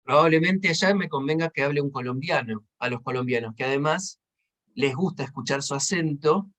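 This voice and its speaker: Higher-voiced Argentine man teaching. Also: a little fast, a bit bright. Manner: conversational, explanatory, checks in with short tag questions. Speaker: seminar instructor, consultant. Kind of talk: informal seminar teaching with examples.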